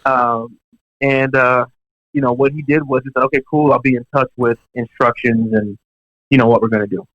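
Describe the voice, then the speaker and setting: A man telling a story as a guest in an interview, casual and animated, with many fillers and restarts. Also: mid-range voice, moderate pace, dry recording, highs cut off. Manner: animated, lots of "you know" fillers and restarts. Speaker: guest drummer.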